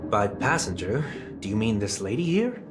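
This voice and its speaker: An actor playing a polite, curious wanderer who speaks formally. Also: male voice, fairly low pitch, big pitch swings, normal speed. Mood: courteous. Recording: game music behind.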